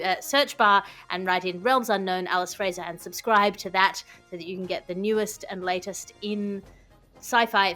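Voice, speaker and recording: Female podcast host, medium-pitched, conversational with scripted promo reads, faint music underneath. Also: a bit bright.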